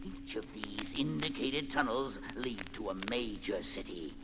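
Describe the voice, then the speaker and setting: An actor playing an embittered, half-blind underground tyrant in a 1970s superhero record melodrama, speaking in grandiloquent, theatrical phrases. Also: male voice, mid-range voice, animated, measured pace, noisy background. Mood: sneering, menacing. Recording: voice over loud dramatic music.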